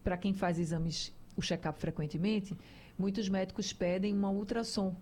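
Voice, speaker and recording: A woman's voice: mid-range voice, fairly even intonation, normal speed, mellow. A woman hosting a radio health talk show, explaining things to listeners in a chatty, conversational way.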